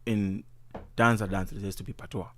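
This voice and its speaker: A man's voice: fairly low pitch, steady tone, a little fast. A man talking casually in an interview as a musician, laid-back, full of slang and fillers. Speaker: guest musician.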